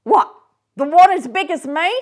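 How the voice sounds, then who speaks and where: A brash, cheeky boy calling up from the street in a storybook, voiced by a woman. His replies come out as high, loud, eager shouts. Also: lively intonation, moderate pace.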